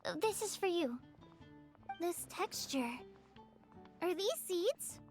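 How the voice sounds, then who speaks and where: A woman playing a gentle, shy young female researcher in a video game, speaking earnestly and a little hesitantly. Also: very high-pitched, very animated, slow pace, heavily acted. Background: music.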